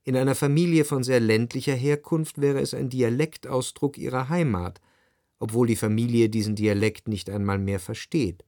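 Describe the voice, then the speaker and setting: Period radio play narrator male actor: analytical, measured, mid-pitched, in a very dry studio sound. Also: dark tone, no echo, natural voice. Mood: reflective. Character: reflective, analytical literary narrator of Parisian society. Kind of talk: scripted literary narration.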